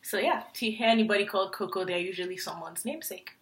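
Bright-voiced chatty woman co-host. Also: medium pitch, fast talker, a little hiss or hum. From Nigeria. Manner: chatty, laughs easily. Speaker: podcast co-host. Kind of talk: casual chat.

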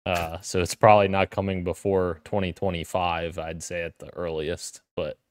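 A man's voice: sits low, level tone, normal speed, a little hiss or hum. A man co-hosting a casual podcast, talking with enthusiasm and plenty of fillers. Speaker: podcast co-host.

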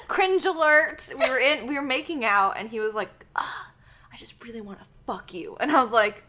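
A woman's voice: on the high side, lively intonation, normal speed, bright, forceful tone. A woman in her twenties, co-hosting a podcast and telling stories in a chatty, giggly way. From Canada. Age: 20s. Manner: giggly, chatty storyteller with frequent laughs. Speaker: podcast co-host. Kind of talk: casual chat.

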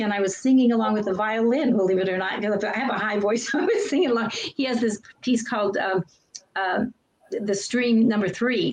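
A woman guest on a talk show who speaks softly and gushes as she shares her experience. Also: medium pitch, poor audio. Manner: soft-spoken and gushing, with light laughs. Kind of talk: casual testimonial chat.

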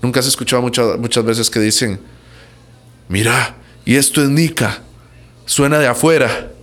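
A man with a Costa Rican accent and an average-pitched voice. He speaks as a musician in an interview, answering in a casual, conversational way. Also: moderate pace, fairly bright tone. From Costa Rica.

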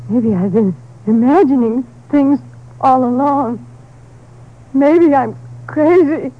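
A woman playing a frightened, fragile rancher's wife, pleading and halting in 1950s radio melodrama style. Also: high-pitched. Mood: distraught, confused. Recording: dull old radio recording.

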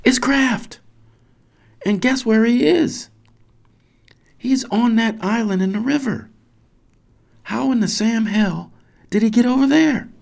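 A man voicing an excitable, baffled young boy who is calling out loudly in a told story. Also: very high voice, slow, vocal fry, pauses often, voice pushed up, heavily acted. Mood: urgent, relieved.

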